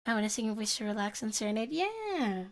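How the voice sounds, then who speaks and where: A woman's voice: fairly high pitch, sing-song, fast talker. Giggly woman streamer chatting with chat. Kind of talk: casual chat with viewers.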